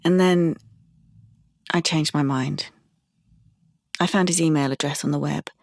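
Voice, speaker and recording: An actress playing a wounded, defiant young woman in an audio drama, with a husky voice and a curt, guarded manner. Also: deep voice, steady tone, unhurried, no echo, clean studio sound. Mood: bitter. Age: young adult. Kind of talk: audio drama monologue and dialogue.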